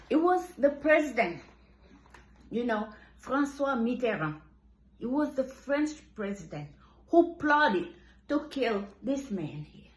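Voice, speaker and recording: A woman in her fifties gives an impassioned monologue. She repeats and restarts her phrases for emphasis. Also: high-pitched. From Senegal. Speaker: commentator. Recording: roomy echo.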